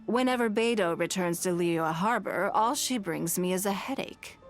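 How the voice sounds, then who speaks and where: A woman voicing a composed, shrewd, wealthy business lady in a video game, speaking in a measured, elegant, formal way. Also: medium pitch, lightly acted. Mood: dryly skeptical. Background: background music.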